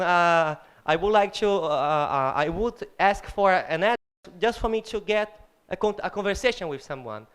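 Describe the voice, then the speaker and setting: A man with a Brazilian accent telling his story from the audience in halting English, often repeating words and checking with "yes?". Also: sits high, a bit bright, smooth. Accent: Brazilian Portuguese. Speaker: audience member. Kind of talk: spontaneous audience comment. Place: lecture hall.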